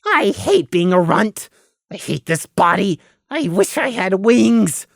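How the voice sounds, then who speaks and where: A man's voice: high voice, very animated, slow pace, vocal fry, dry recording, studio quality, pitched above the performer's own voice, full character voice. A man voicing a small, paranoid male lamb who grumbles with suspicion and resentment in an audio storybook. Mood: bitter. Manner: scowling, insistent.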